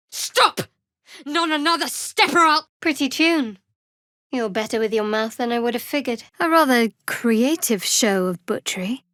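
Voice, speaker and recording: A woman playing a guarded, sardonic young cleric of a dark goddess in a video game, speaking in a dry, measured, wry way. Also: on the high side, dry recording. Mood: alarmed, angry. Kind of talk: video game character voice acting.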